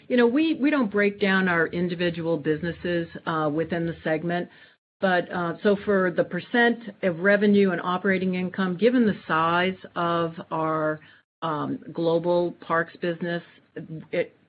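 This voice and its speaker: Woman, finance executive, slightly low voice, measured explanations, earnings call, lo-fi audio. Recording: dull conference call audio.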